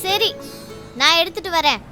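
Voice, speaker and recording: A woman voicing a stubborn, cheeky little schoolgirl in an animated series, with an Indian Tamil accent and a demanding manner. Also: very high voice, normal speed, music in the background. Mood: grumbling, bargaining. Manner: demanding, bargaining. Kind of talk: animated children's series acting.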